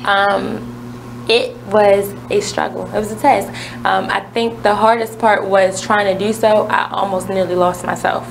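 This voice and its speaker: A woman in her twenties, a radio guest speaking earnestly and self-assuredly, with frequent pauses to gather her thoughts. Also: medium pitch, little pitch movement, vocal fry, noisy background. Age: 20s. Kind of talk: casual radio talk.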